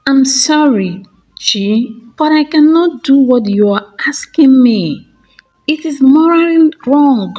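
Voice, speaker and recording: A woman's voice: high voice, expressive, slow, dark tone, muffled recording, pitched above the performer's own voice. A woman voicing a principled, reluctant young twin sister who protests earnestly in a folktale. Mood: firm refusal.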